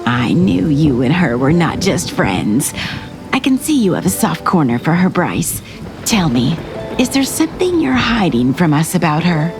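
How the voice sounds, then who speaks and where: A woman playing a fretful, prying middle-aged mother in an audio drama, insistent and expressive. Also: sits low, normal speed, over music. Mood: concerned, insistent. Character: fretful, prying matchmaking mother of grown son.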